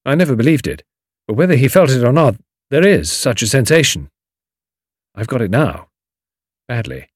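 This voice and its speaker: A man's voice: medium pitch, sing-song, normal speed, pauses often, breathy edge, dry recording, studio quality, pitched above the performer's own voice. A man voicing an uneasy but eager young British adventurer, clipped and understated, in an audiobook story. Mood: reflective.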